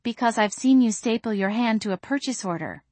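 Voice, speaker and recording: A wry young woman playing protective best friend, as a woman narrator acts her out. She is blunt, teasing and brisk, pitched on the high side in a dry storybook recording. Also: darkish tone, no echo, lightly acted. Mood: indignant.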